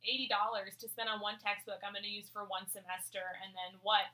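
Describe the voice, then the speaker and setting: A young woman co-hosting a podcast, chatting with friends in an animated, emphatic way and laughing often. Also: medium pitch, brisk, poor audio. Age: young adult. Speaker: podcast co-host. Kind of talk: casual chat among friends.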